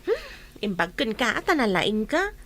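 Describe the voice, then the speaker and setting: A woman's voice: a little high, very animated, moderate pace, a bit bright. A woman with an Ilocano accent playing a cautious, supportive young student in a radio drama.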